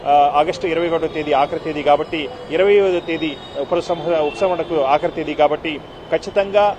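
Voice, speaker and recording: A man giving an unscripted live news report as a correspondent, halting and repetitive with frequent filled pauses. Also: on the high side, background noise.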